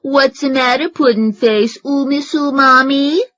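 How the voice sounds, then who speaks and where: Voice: high voice, unhurried, bright, forceful tone, voice pushed up. A narrator voicing a cocky young boy who teases his friend over the phone with crude schoolyard insults. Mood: taunting.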